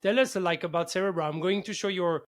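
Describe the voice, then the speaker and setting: A man's voice: high-pitched, brisk, slight background noise. A man hosting a show in a casual, enthusiastic interview style. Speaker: podcast host.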